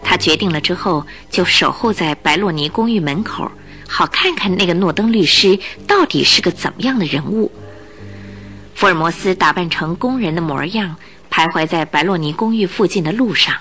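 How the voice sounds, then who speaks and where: A woman narrating a radio drama in Chinese, in an expressive, scripted storytelling style. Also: lowish voice, moderate pace, soft background music.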